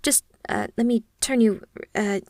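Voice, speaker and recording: A woman's voice: fairly high pitch, steady tone, measured pace, darkish tone, no echo, clean studio sound, lightly acted. A woman playing an obsessive, clingy yandere kidnapper in an intimate ASMR roleplay, speaking in a gushing, hesitant, awkwardly earnest way straight to the listener. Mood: sheepish, flustered.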